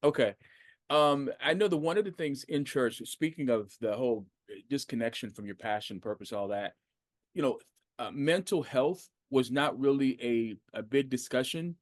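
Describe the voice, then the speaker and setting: A man in his fifties from the United States, hosting a faith podcast and talking in an animated, conversational way with plenty of fillers and restarts. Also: mid-range voice, normal speed, no room sound. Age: 50s. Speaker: podcast host. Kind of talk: casual interview.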